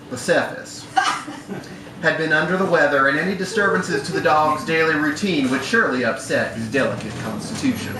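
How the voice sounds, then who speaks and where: A man giving a wry live reading of a literary short story, performed as a storyteller. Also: a little high. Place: bar room at a live event. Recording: echoey, noisy live room, distant mic.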